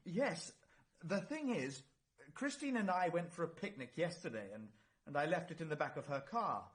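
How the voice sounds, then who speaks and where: An actor playing an anxious young murder suspect, flustered and defensive, in a vintage radio drama. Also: male voice, a little high, lively intonation, moderate pace, natural voice. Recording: noisy vintage radio recording.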